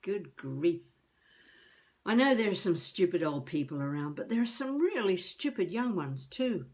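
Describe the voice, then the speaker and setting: A woman playing a feisty elderly would-be novelist, rambling wryly and haltingly through a comic monologue as a video diary. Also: fairly low pitch, very animated, darkish tone. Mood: indignant, wry. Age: older. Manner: wry, musing, halting, talking to herself.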